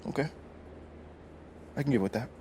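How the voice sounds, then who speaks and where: A man's voice: mid-range voice, normal speed, lightly acted. A man playing a casual, slangy young basketball player in a conversational video game cutscene. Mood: reluctant. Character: young male basketball player, expressive, easily riled. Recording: noisy game audio with loud ambience.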